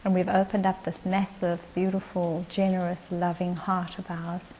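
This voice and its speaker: A woman leading a guided meditation as its guide, speaking in gentle, short phrases with long pauses. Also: fairly low pitch, level tone, a little hiss or hum, poor audio.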